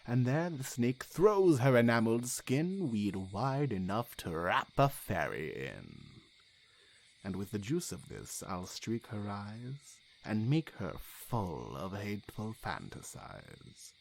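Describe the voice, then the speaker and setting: Commanding fairy king for a male actor: low, hugely varied, declamatory Shakespearean audio drama. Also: sing-song, slow, creaky, slight background noise, exaggerated voice. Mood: hushed, scheming. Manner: theatrical, declamatory. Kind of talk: classical Shakespearean verse acting.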